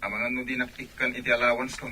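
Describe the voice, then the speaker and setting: A man's voice: mid-range voice, flat delivery, fast, sharp tone, a little hiss or hum. A man with a Filipino accent playing an earnest, worried young student in love in a radio drama. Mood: apologetic.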